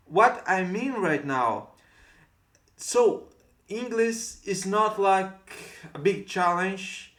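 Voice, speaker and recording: A Brazilian man speaks English with a Brazilian Portuguese accent in a rather high voice, giving earnest coaching to the camera. He keeps repeating his encouraging points, and his voice rings in a roomy, echoey space. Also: a bit bright. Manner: earnest, repetitive coaching phrases. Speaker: English tutor. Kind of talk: motivational talk to camera.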